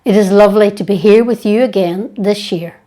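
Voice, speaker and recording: A woman leading a guided prayer meditation in a gentle, hushed, unhurried way with long pauses. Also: medium pitch.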